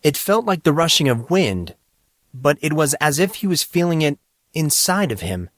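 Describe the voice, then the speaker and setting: A man reading scripted fantasy fiction as an audiobook narrator, with deliberate, dramatic storytelling. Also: fairly high pitch, very animated, fairly bright tone, no echo.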